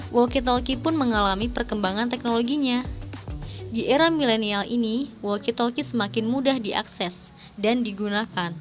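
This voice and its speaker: Young female student presenter, medium pitch, formal scripted read with careful diction. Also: fairly bright tone. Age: young adult. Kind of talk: scripted presentation narration, reading a paper aloud. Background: background music.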